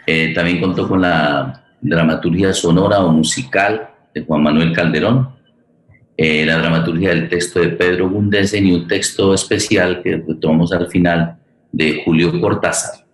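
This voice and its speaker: A man with a Colombian accent hosting a conversational forum, halting and correcting himself as he goes. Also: low-pitched, steady tone, reverberant. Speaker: host and radio theatre director.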